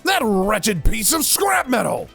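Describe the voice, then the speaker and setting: Man doing a highish blustering evil mad scientist. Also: very animated, slow, creaky, a bit bright, husky, faint music behind, exaggerated voice. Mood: defiant. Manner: exclamatory, theatrical. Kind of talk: fan audio drama acting.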